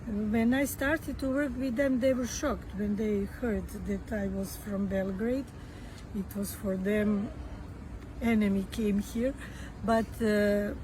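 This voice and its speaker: Woman, Serbian accent, non-native English, unhurried halting delivery, noisy recording with traffic sound. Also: mid-range voice. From Croatia. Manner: slow and halting, many fillers and restarts. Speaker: guest author and activist. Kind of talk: podcast interview.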